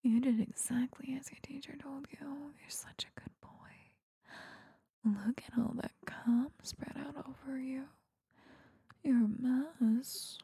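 Woman whispering like a strict bossy teacher, super breathy, slow, dry close sound. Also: sits high, steady tone, dark tone, no room sound. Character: domineering female teacher. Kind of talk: whispered audio roleplay.

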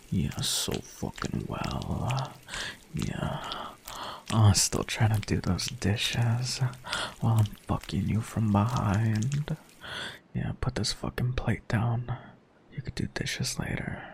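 A man performing a whispered erotic roleplay monologue in a dominant, husky manner with moans. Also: fairly low pitch, a little hiss or hum.

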